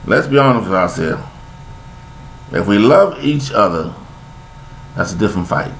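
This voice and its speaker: A man's voice: on the low side, vocal fry, fairly bright tone, slight background noise, poor audio. An older man, talking in a rambling, preachy monologue, repeating himself with folksy sayings. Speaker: vlogger giving life commentary.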